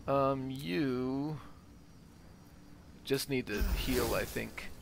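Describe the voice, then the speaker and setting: Man, casual let's-play commentary, thinking aloud, mid-pitched voice, clear game noise behind. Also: extremely slow. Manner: thinking aloud, muttering decisions. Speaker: gamer, host of a let's play.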